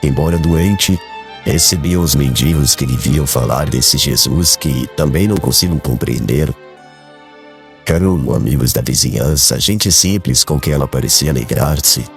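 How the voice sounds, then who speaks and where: A man playing a calm, kindly, grieving old country father in a dramatized audiobook, speaking in a measured, gentle and formal way. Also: very deep voice, gravelly, soft background music. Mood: sorrowful, earnest.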